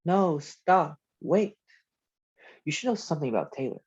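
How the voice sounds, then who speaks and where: A man's voice: fairly high pitch, expressive, normal speed, a little mellow, breathy edge. A man playing a skeptical, dry-witted young boy in an amateur table read, on dull call audio. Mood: dry, urgent.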